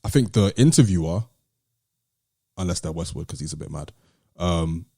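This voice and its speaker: A British man co-hosting a podcast, talking in a casual, measured and articulate way with some slang. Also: low-pitched, animated, gravelly, warm tone, slightly rough, no room sound, studio quality. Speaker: podcast host. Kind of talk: casual discussion and commentary.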